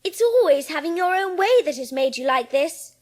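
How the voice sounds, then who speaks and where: A woman's voice: extremely high pitch, lively intonation, moderate pace, a little mellow. A woman playing a blunt, forthright young girl in a dramatised audiobook, with a frank, matter-of-fact manner. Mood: frank, scolding.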